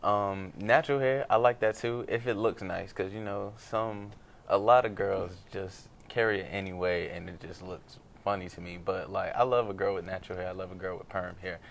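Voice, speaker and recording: A man's voice: low-pitched, fairly even intonation, moderate pace, creaky. A man in his early twenties giving his opinions as a guest in a casual group chat. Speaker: panel guest. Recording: faint background noise, room ambience.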